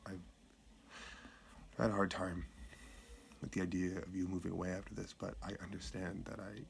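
Radio play: a tender, awkward father, halting delivery, very deep with gravelly fry. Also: little pitch movement, slight background noise. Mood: regretful, tender. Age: middle-aged. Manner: halting, hesitant, earnest. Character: awkward, tender, apologetic father of grown children. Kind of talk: radio play acting, heartfelt spoken message.

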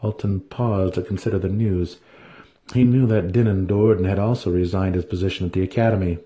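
A man's voice: low voice, steady tone, a little fast, dark tone. A man giving a dramatic reading of fantasy fiction as an audiobook narrator. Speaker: audiobook reader.

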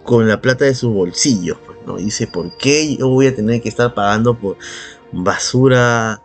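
A man's voice: mid-range voice, normal speed. Peruvian man ranting casually over music. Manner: argumentative, sarcastic, swears casually. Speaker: podcast co-host. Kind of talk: casual opinionated podcast talk. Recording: background music under the voice.

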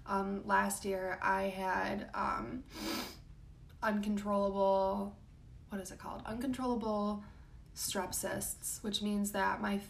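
A young woman with a raspy, low voice, talking casually about her life with many ums and likes. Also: little pitch movement, takes it slowly. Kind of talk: personal vlog talk. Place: home room.

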